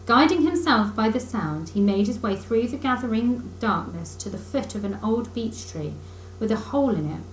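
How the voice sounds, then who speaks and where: A woman reading a children's book aloud in expressive storybook narration, like a teacher reading to pupils. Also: a little high, normal speed. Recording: echoey room with faint noise.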